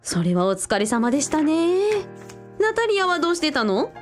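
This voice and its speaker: A woman's voice: high-pitched, sing-song, moderate pace, faint music behind. A woman playing a bossy, curious big sister in a radio drama, blunt and teasing.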